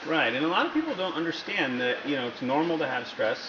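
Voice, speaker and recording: A man's voice: on the high side, very animated, fast talker. A man working as a fitness trainer, asking questions in a casual interview. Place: gym. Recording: echoey, muffled, noisy room recording.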